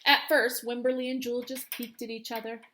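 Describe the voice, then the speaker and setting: A woman teacher reading a children's storybook aloud with expressive, emphatic storytelling. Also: on the high side, fairly quick, a little hiss or hum.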